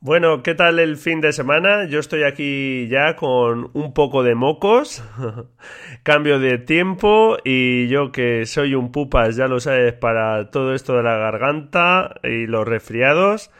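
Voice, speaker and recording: A man hosting a solo podcast in a relaxed, chatty way with many fillers, his voice slightly stuffy and congested from a cold. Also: mid-range voice, takes it slowly, clear, resonant tone. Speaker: podcast host. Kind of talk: casual solo podcast talk.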